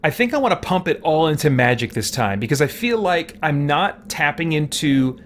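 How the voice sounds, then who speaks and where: A man giving casual let's-play commentary as a streamer, rambling and thinking out loud as he goes. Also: a little high, sing-song, normal speed, slight background noise. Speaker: livestream gamer and host.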